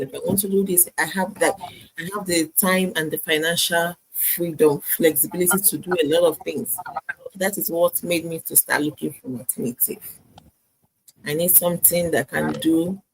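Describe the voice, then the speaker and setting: A woman's voice: low voice, slight background noise, rough recording. A woman from Nigeria, a guest in a live chat, telling her story earnestly and often repeating herself.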